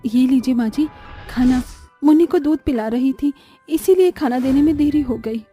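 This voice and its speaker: Woman as meek, high-voiced young daughter-in-law. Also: normal speed, dark tone, soft background music, natural voice. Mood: polite, dutiful. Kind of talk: dramatized family storybook cartoon.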